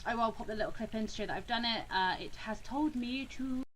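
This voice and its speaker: A woman's voice: fairly high pitch, a little fast, slight background noise, low-quality recording. A woman hosting a gaming playthrough with casual, chatty and apologetic commentary. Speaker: gaming video host.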